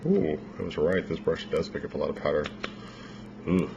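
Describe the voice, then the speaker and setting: A man giving a casual makeup tutorial, explaining each step in a relaxed, rambling way with many fillers, his voice a little worn as if he is losing it. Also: extremely low pitch, moderate pace, gravelly. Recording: dull lo-fi home recording with faint noise.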